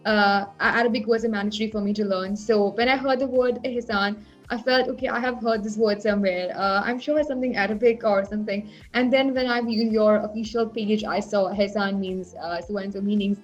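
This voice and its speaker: A woman's voice: medium pitch, fairly even intonation, brisk, few pauses, soft background music. Hesitant woman host on a dull line. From brought up in UAE. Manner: hesitant, many fillers, complimentary. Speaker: interviewer, host. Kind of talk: interview questions.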